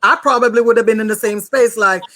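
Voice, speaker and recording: A woman's voice: fairly high pitch, steady tone, quick pace, fairly bright tone. A woman with a Jamaican accent, speaking as the host of an online talk show.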